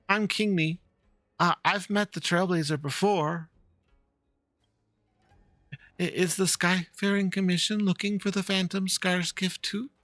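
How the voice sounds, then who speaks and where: A man voicing an earnest, worried young fox-girl in halting, polite speech, reading out video game dialogue. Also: sits high, fairly even intonation, fairly bright tone, no room sound, voice pushed up. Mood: eager, disappointed.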